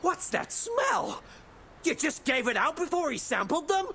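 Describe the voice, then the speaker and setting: A man's voice: very high-pitched, sing-song, unhurried, vocal fry, pushed and bright, heavily acted. A man playing a brusque, suspicious official in a video game, with a sneering, demanding manner. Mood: contemptuous. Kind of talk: scripted video game voice acting. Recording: faint background noise.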